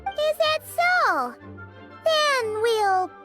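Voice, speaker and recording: A tiny fairy companion from a video game, squeaky and extremely high. The figure is pert and suspicious, with blunt, childlike sass in a slow, swooping delivery. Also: female voice, big pitch swings, full character voice. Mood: suspicious, indignant. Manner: blunt, sassy questioning. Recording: loud music behind the voice.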